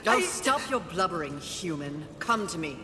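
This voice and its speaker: A woman's voice: on the low side, very animated, normal speed. An actress playing a haughty female horseman of the apocalypse, imperious and sardonic in a fantasy game. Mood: disdainful. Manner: imperious, sardonic, coldly menacing. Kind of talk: dramatic fantasy game acting. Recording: echoing hall under game music.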